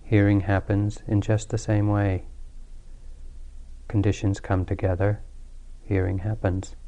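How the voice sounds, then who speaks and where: A man leading a guided meditation as a teacher, speaking calmly and soothingly with long pauses. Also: on the low side. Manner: calm, unhurried, soothing delivery with long pauses.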